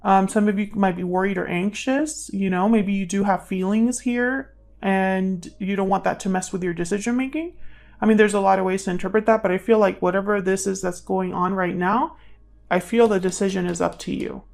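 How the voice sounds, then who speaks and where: A woman's voice: medium pitch, fairly even intonation, a little fast, vocal fry, darkish tone, faint music behind. A woman giving a casual, intuitive tarot reading as a channel host, musing aloud and sometimes trailing into murmured questions.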